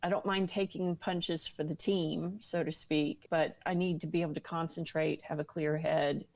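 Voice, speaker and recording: A woman's voice: low voice, steady tone, moderate pace, gravelly, darkish tone. A woman speaking earnestly and seriously as an interview guest, giving personal testimony.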